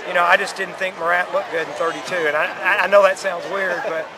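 A man's voice: high voice, flat delivery, fast talker. A middle-aged American man, a football coach, talking earnestly in a postgame interview and often restarting his phrases. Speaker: high school football coach. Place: outdoors at night by a stadium building. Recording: crowd chatter and loud outdoor field noise.

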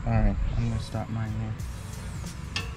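A man in his thirties giving a relaxed, chatty step-by-step demonstration outdoors at a campsite under a canopy tent. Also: lowish voice, slow. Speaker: vlogger. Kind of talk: casual how-to demo. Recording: background music under voice. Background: music.

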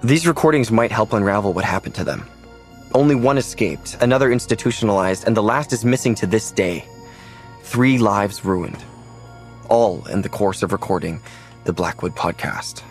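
Grave audio-drama narrator , played by a man: low, gravelly, measured, documentary delivery. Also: faint music behind, natural voice. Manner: solemn, measured. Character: grave male narrator recounting a tragic investigation. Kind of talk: scripted documentary-style narration.

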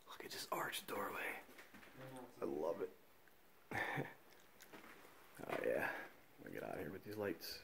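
A man's voice: lowish voice, vocal fry. A man exploring derelict buildings, often whispering and exclaiming in awe as he films. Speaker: urban explorer filming. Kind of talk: hushed walkthrough commentary. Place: derelict school buildings indoors.

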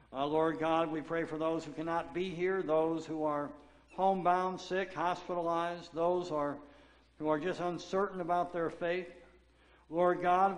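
A male pastor leading a solemn, measured congregational prayer in a large church sanctuary. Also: on the high side. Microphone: far camera at back of sanctuary. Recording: echoey sanctuary from far off.

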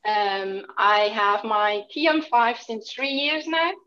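A woman in her thirties from Austria, introducing herself on a video call from home in a plain, steady way. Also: a little high, slow, dull audio, slight background noise. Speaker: new team member, guest. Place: home, indoors. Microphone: phone or laptop camera, video call.

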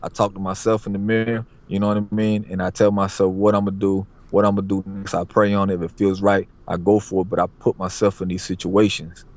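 A man's voice: low voice, flat delivery, brisk, few pauses, darkish tone. A man, a barber, chatting casually as a podcast guest in a streetwise way, repeating himself and asking "you know what I mean". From Florida, USA. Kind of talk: casual interview talk. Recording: dull lo-fi audio.

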